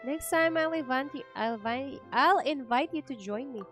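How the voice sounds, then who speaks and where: A woman's voice: high-pitched, moderate pace. A woman with a Filipino accent chatting casually and reacting as she streams a game. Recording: faint game music behind the voice.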